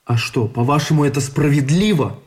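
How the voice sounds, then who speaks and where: A man's voice: fairly high pitch, fairly quick, darkish tone, lightly acted. An actor playing a bitter, sardonic junior officer in his twenties, aggrieved at his fate, in a radio play. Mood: indignant. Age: 20s. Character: bitter young non-commissioned officer facing execution.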